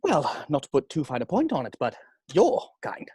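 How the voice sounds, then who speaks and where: A man's voice: on the high side, wildly swooping pitch, moderate pace, dark tone, husky, no echo, studio quality, exaggerated voice. A man playing a charming, brash seventy-year-old tycoon in a script table read, with a jovial, showy delivery. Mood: persuasive.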